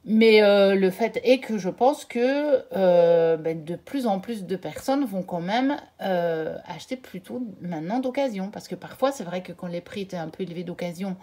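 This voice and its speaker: A woman hosting her own channel, comparing two products in a casual, hesitant way with frequent filler pauses. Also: medium pitch, expressive, dark tone. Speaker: channel host reviewing tarot decks.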